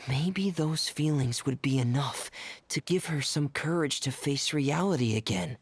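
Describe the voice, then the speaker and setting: Quiet young game hero: introverted, gentle, husky whispery breath, unhurried. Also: male voice, fairly high pitch, no echo. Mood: resolved. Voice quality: soft, breathy. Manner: hesitant, hushed, deliberate. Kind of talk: video game voice acting.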